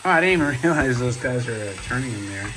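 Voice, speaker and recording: A man with a hoarse, cold-roughened voice, hosting a casual show-and-tell vlog and chatting in a rambling way with many asides. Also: medium pitch, expressive, fast, background noise. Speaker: toy robot collector and channel host.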